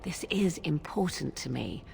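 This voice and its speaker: An actress playing a proud, guarded witch in a fantasy video game, speaking in formal, archaic phrasing with cool, deliberate persuasion. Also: sits low, animated, gravelly, a little mellow, husky, in a large hall, full character voice. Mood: solemn, persuasive.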